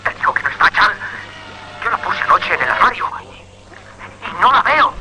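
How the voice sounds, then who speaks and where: A man's voice: sits high, sing-song, normal speed, pushed and bright, slight background noise, heavily acted, poor audio. An actor playing a defensive, tense young man in a radio drama. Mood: panicky. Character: irritated, defensive young man turning panicky.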